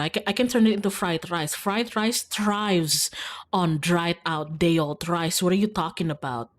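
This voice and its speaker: A young woman from Indonesia, streaming and telling a rambling story about share-house life, full of "like" and restarts. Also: lowish voice. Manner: rambling, filler-heavy, lots of "like". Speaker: VTuber streamer. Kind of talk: casual storytelling while gaming.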